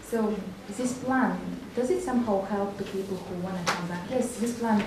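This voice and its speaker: A woman's voice: mid-range voice, moderate pace. A woman moderating a live discussion with a probing, insistent manner. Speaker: panel moderator. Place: indoor panel discussion room. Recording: very echoey room, faint noise.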